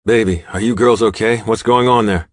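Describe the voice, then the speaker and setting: A man's voice: lowish voice, steady tone, normal speed, dry recording, studio quality, voice pushed up, lightly acted. A man voicing a tough, hot-tempered, protective male biker with a blunt manner in an audiobook. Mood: concerned, protective. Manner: barking, blunt.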